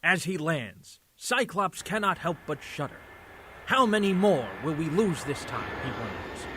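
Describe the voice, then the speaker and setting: An actor playing a melodramatic comic-book narrator, reading scripted narration theatrically in an audio drama. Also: male voice, on the high side, very animated, measured pace, pushed and bright, slight background noise, full character voice. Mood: ominous.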